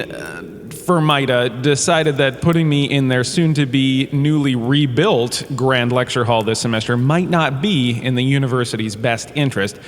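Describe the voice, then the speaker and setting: A man's voice: medium pitch, fairly bright tone, lightly acted. A man playing a washed-up, self-important holodrama star who gives rambling, breezy, self-excusing comedic lectures in an audio drama. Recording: cavernous reverb with faint background noise.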